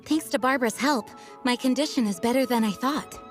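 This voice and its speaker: An actress playing a composed young knight leader who speaks in a calm, formal, polite way. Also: female voice, a little high, brisk, lightly acted. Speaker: acting grandmaster of a knightly order. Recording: game audio with music behind.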